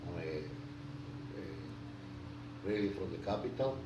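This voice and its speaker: A Puerto Rican man in his sixties recalls his life for an interviewer, his voice very low and his talk halting, full of fillers and false starts. He is picked up from a distance in a roomy, echoing space. A clear noise hums behind him. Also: lively intonation. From Puerto Rico. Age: 60s. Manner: halting, many fillers and restarts. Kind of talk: casual life-story interview. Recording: echoey room, distant mic, noisy.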